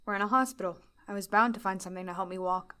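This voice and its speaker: A woman's voice: mid-range voice, fairly even intonation, pushed and bright, lightly acted. A woman voicing a practical survivor scouting a hospital in an audio drama. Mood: brisk. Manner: brisk, practical. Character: resourceful young female survivor.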